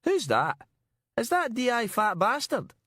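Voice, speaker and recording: A man's voice: extremely high pitch, huge pitch swings, a little slow, fairly bright tone, husky, dry recording, studio quality, voice pushed up, exaggerated voice. A man voicing a brash, combative male reporter with a broad Glaswegian accent in an audiobook, mouthy and indignant. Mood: scornful. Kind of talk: audiobook character acting.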